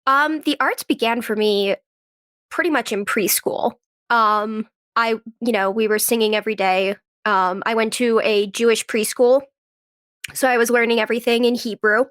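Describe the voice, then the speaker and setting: Female interview guest, slightly high register, informal conversational storyteller, dry sound. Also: fairly even intonation, moderate pace, a bit bright, clear, resonant tone, no room sound. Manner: chatty, informal, acts out quoted remarks. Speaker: podcast guest, actress and writer. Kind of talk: casual chat, personal storytelling.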